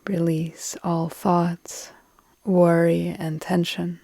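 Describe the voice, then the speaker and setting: A woman guiding a relaxation meditation in a calm, soothing, deliberate way with long pauses, her soft phrases trailing into breath. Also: fairly low pitch, creaky, no room sound. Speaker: yoga nidra meditation guide.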